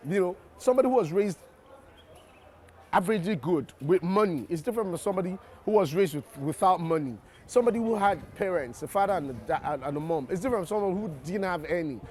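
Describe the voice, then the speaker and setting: A man's voice: sits high, expressive, quick pace, a little mellow. A Nigerian man, an actor in an interview, explaining his craft reflectively and often restarting his sentences. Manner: reflective, restarts and repeats words while explaining. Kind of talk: interview answers.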